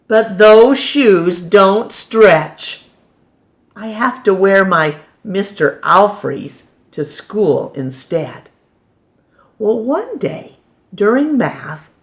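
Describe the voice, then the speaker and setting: Very slow, animated woman reading a storybook. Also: medium pitch. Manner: dramatic pauses and emphasis. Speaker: storytime reader. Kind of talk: expressive children's picture book read-aloud.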